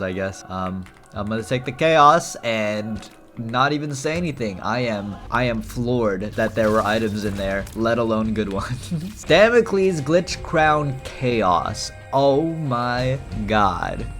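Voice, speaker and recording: A man's voice: lowish voice, fairly bright tone. A young man raised in England, casually commenting on his gameplay and telling chatty stories with frequent laughter. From Philippines, raised in England. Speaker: gaming streamer.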